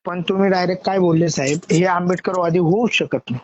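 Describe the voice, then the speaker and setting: A man's voice: high-pitched, brisk, darkish tone. A man from Hingoli district in Maharashtra calling by phone to argue, politely but persistently objecting and asking to be heard. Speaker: caller. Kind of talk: argumentative phone conversation. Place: phone call. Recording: muffled, noisy recorded phone call.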